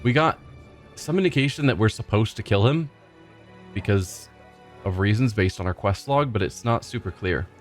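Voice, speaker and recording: A man's voice: fairly low pitch, expressive, moderate pace. A man hosting a let's play, narrating and questioning characters with dry, skeptical asides. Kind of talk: gameplay commentary and reading dialogue. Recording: faint game music behind.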